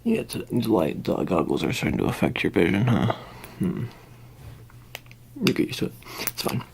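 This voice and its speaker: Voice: low voice, expressive, moderate pace, creaky, slight background noise. An ASMR performer in a roleplay as a secretive, reassuring handler, murmuring improvised instructions to the listener in a hesitant, rambling way. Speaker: ASMR performer playing a character who preps the listener. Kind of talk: whispered ASMR roleplay, improvised personal attention.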